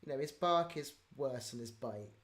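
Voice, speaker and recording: The speaker is a chatty host who also writes audio drama, talking in a medium-pitched voice. The delivery is informal and giggly, as in a relaxed online Q&A with a guest. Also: moderate pace. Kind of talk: casual livestream Q&A chat.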